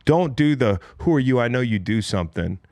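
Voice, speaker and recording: A man's voice: mid-range voice, lively intonation, fairly quick, a little mellow, dry recording. An American man around forty, bantering bluntly on a casual sports podcast as host, swearing freely as he tells stories.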